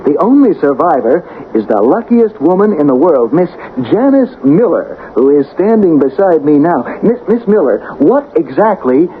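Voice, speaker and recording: An actor playing an earnest male reporter at a disaster scene in a vintage radio drama, announcing dramatically and haltingly. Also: fairly high pitch, big pitch swings. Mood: earnest, probing. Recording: tinny, hissy vintage radio recording.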